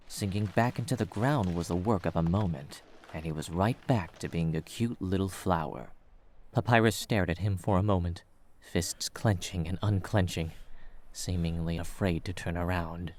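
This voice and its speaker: Male narrator, deep voice, scripted storytelling, audio fiction reading. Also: moderate pace, a little mellow, slight background noise.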